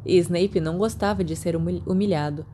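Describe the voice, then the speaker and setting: A Brazilian woman narrating a fan fiction audiobook in a soft storytelling manner, voicing the dialogue as she goes. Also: fairly low pitch, fairly quick, a little hiss or hum.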